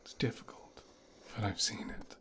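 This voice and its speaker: A man playing a menacing, possessive, resentful mafia boss's son in an intimate ASMR roleplay, speaking in a breathy, brooding, teasing hush. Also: natural voice. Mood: bitter, resentful. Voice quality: breathy, whispered. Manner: slow, hushed, brooding, teasing.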